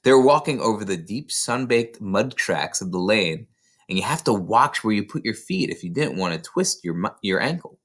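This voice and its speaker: A man's voice: on the low side, lively intonation, normal speed, a bit bright. A man reading a children's novel aloud as scripted narration. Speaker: storybook reader.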